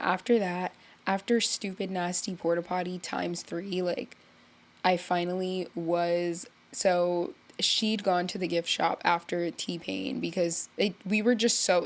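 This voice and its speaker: A young woman with a congested, stuffy voice, talking through a loose, rambling story. She leans on filler words and tells it with animated, offhand energy. Her voice sits a little low for a woman. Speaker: podcast host. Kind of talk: casual solo chat.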